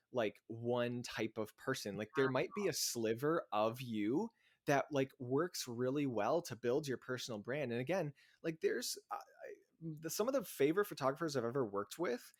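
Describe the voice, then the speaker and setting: An excitable young man chatting as a podcast guest, with a mid-range voice. He talks eagerly and rambles, laughs between thoughts and leans on "like" a lot. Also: medium pitch, normal speed. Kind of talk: casual podcast interview.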